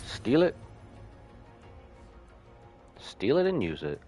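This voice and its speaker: A man's voice: medium pitch, huge pitch swings, takes it slowly, dark tone, faint music behind. A man streaming a video game, giving casual live commentary and thinking aloud to himself.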